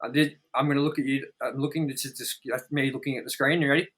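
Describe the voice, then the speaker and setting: A man's voice: medium pitch, fairly even intonation, fast talker, fairly bright tone, husky. A man co-hosting a casual, opinionated film review chat, speaking in a blunt, sweary, exaggerating way. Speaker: co-host of a movie review show.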